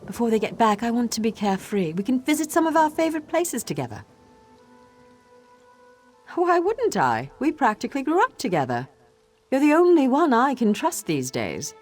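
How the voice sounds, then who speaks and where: A woman's voice: on the high side, huge pitch swings, normal speed, faint music behind. An actress voicing an earnest, sheltered young elf woman in a fantasy game, emotionally open and dramatic. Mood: eager, confiding.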